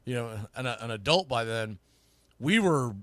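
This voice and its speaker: A man's voice: mid-range voice, lively intonation, a little slow, fairly bright tone, no room sound, clean studio sound. A middle-aged man hosting a sports talk show, chatting casually and informally in a rambling, mildly salty way. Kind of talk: casual, rambling live podcast chat.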